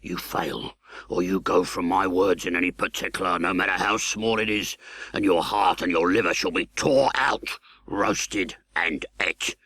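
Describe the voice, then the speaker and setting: A menacing escaped convict from a classic storybook. The voice is low and harsh, with a rasping edge and rough English working-class speech. It is forceful and threatening, in a dry recording. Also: male voice, a little slow, no room sound, heavily acted. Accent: English working-class dialect. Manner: threatening, growling, uneducated speech. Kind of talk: audiobook character acting.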